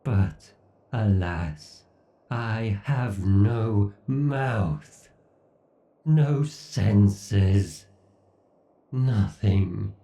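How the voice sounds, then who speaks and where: A man's voice: very slow, long pauses, heavily acted. A man playing an obsessed, condescending villain AI god in a horror ASMR roleplay, with a brooding, theatrical, menacing delivery. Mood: anguished bitterness. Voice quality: gravelly, dark, low. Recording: dull, roomy, slightly distant sound.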